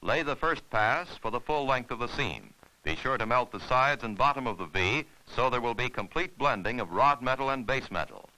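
A man's voice: medium pitch, expressive, normal speed, few pauses, sharp tone, poor audio. A man narrating an instructional film in a formal, scripted, step-by-step way.

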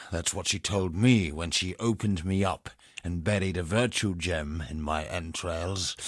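An actor playing a grim, world-weary, scarred exile in a fantasy video game, speaking in a measured, brooding manner. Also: male voice, on the low side, dry recording, clean studio sound. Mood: bitter. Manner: slow, measured, brooding delivery. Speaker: game character. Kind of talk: dramatic video game voice acting.

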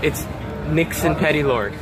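A man's voice: fairly high pitch, lively intonation, unhurried, loud music behind the voice, poor audio. A nineteen-year-old man chatting casually on a school bus while filming with his phone, laughing often and repeating what others say. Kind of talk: casual chat. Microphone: handheld phone.

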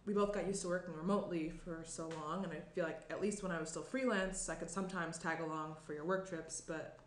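A woman's voice: lowish voice, steady tone, quick pace, natural voice. A young woman playing an uneasy, evasive engaged writer at a table read, speaking hesitantly and understatedly. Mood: hesitant, anxious. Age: young adult. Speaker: actor reading a lead part. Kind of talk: screenplay table read, naturalistic. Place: small indoor room around a table. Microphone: shared USB tabletop microphone. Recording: roomy echo, clear noise.

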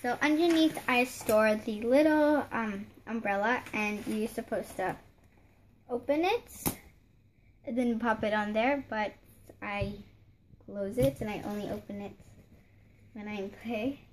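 Young girl, high-ish voice, chatty show-and-tell on a phone cam, light room hiss. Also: unhurried. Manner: chatty, rambling, many fillers and restarts. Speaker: vlogger showing a doll house. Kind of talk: casual show-and-tell narration. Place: home room beside a handmade doll house. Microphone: phone camera held close, hands in frame.

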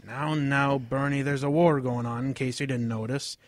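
A man's voice: medium pitch, steady tone, moderate pace, no room sound, lightly acted. A man playing an earnest English father, proud of history, lecturing in a radio drama. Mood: placating. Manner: lecturing, storytelling.

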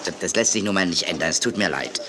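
An actor playing an excitable, brisk TV boss in a children's audio drama, effusive and dismissive, repeating his words. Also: low-pitched, a little hiss or hum. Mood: placating, harried. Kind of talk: 1980s German children's radio play acting.

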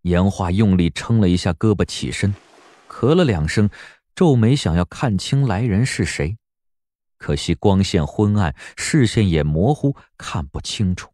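A man narrating a period audio drama in a measured, literary storytelling style. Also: low-pitched, expressive, darkish tone, no room sound, studio quality.

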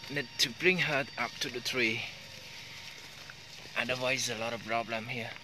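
A man's voice: mid-range voice, steady tone, moderate pace. A man filming outdoors at night under trees, speaking non-native English and coaxing monkeys in a casual vlog. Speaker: channel host filming monkeys. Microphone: handheld phone camera. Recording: loud outdoor noise.

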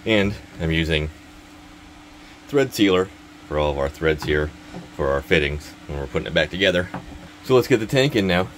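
A man in his thirties working on a boat, explaining each repair step in a relaxed, casual way. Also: low-pitched, moderate pace, pauses often, slight background noise. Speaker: boat mechanic. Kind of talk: casual how-to narration while working. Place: inside a boat cabin.

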